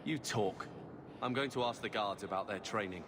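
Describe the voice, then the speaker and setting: An actor playing a gruff, impatient, sullen younger fighter in a video game, curt and grumbling. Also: male voice, fairly low pitch, normal speed, background noise, lightly acted.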